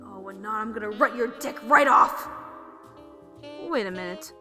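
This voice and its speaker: A woman's voice: medium pitch, moderate pace, sharp tone, long reverb tail, natural voice. A woman voicing a brash, vain, lustful pegasus mare adventurer who mutters indignantly to herself in a fan audio drama. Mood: cocky, scheming. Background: clear background music.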